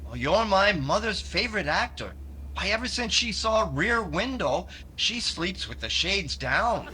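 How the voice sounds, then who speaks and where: An actor playing a naive, cheerful, scatterbrained young sidekick in 1950s radio comedy, earnest and innocent. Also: male voice, sits high, very animated, a little slow, sharp tone, slight background noise, full character voice, low-quality recording. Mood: eager, earnest.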